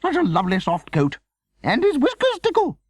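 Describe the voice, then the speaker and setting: Man putting on a shrill, swooping, gushing woman. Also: huge pitch swings, moderate pace, no echo, clean studio sound, full character voice. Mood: delighted. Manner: effusive, exclaiming, swooping. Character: gushing, short-sighted, excitable woman visitor.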